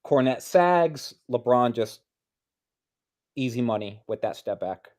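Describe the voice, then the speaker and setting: A man's voice: medium pitch, expressive, slow pace, darkish tone. A man co-hosting casual sports commentary, explaining tactics step by step in an analytical way. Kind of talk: casual analytical play breakdown.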